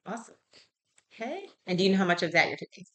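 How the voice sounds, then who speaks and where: A woman's voice: mid-range voice, big pitch swings, racing, sharp tone. A woman conducting a clinical intake interview as a health professional, conversationally checking and repeating answers. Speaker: health professional taking a patient history.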